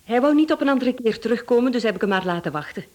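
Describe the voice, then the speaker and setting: A woman's voice: a little high, animated, rapid-fire, darkish tone, close to an everyday voice. An actress in a radio play, a woman with a Flemish accent, playing a polite, deferential and chatty office secretary. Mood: polite, informative. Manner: polite, obliging.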